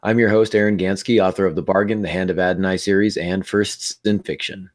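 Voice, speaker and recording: A man hosting a writing podcast in a casual, halting way with many fillers, his voice worn down by laryngitis. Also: deep voice, steady tone. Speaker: podcast host. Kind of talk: casual podcast chat and teaching.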